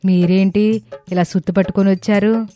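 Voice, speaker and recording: A woman's voice: mid-range voice, steady tone, moderate pace, mellow, soft background music, lightly acted. A woman playing a greedy, scheming middle-aged mother-in-law in a dramatised story, insistent and argumentative. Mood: annoyed, puzzled. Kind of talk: dramatised moral story acting.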